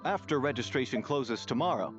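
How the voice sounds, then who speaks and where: An actor playing a courteous tournament registration official in a video game, making polite, formal announcements. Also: male voice, medium pitch, expressive, brisk, a bit bright, lightly acted. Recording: muffled game audio under music.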